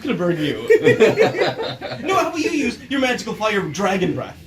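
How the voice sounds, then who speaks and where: A man's voice: high voice, wildly swooping pitch, normal speed, reverberant, distant mic. A man playing a tabletop game, bantering casually in a giggly, playfully argumentative way. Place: indoor room, in-person game session. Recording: busy room with overlapping chatter.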